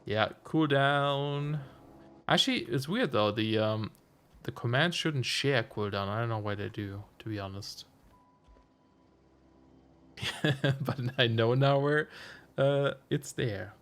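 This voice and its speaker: A slightly hoarse man chatting casually while streaming a game, musing aloud with self-amused asides. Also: mid-range voice, expressive, a little slow, fairly bright tone. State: slightly hoarse, losing his voice. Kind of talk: casual let's-play commentary.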